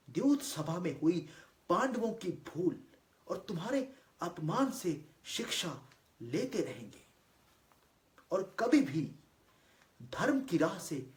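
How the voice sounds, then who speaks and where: A man performing a theatrical monologue as a solemn god, declaiming deliberately with weighty pauses in formal speech. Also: high-pitched, big pitch swings. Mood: solemn, instructive. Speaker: monologue actor. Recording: roomy, echoing voice recording.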